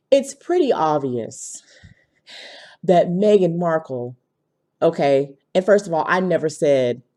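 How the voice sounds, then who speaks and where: A woman giving casual, opinionated commentary in a blunt, emphatic manner, slightly hoarse from a cold. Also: low voice, darkish tone. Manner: blunt, emphatic, punctuating points with tag questions. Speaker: video commentator.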